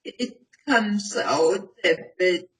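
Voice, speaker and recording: A woman in her thirties, a textile designer, speaking in a halting, emotional way and close to tears in an interview. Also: lowish voice, animated. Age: 30s. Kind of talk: documentary interview. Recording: far from the mic.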